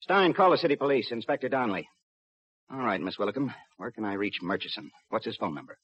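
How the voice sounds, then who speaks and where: An actor playing a steady, businesslike railroad police lieutenant who also narrates in a 1950s radio drama. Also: male voice, fairly low pitch, fast, background noise, close to an everyday voice. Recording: dull, lo-fi 1950s radio recording.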